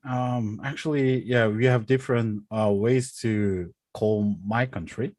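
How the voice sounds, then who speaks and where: A young man from South Korea, a native Korean speaker, co-hosting a podcast in casual chat, speaking slowly with many fillers and a Korean accent. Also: medium pitch, steady tone, darkish tone, no echo, clean studio sound. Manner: slow, hesitant, many ums and likes. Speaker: podcast co-host.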